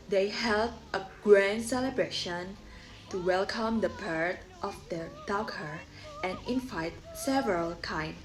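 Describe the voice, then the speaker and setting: Slow-paced young woman storyteller over music. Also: mid-range voice, low-quality recording. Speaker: student storyteller. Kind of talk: scripted storytelling presentation.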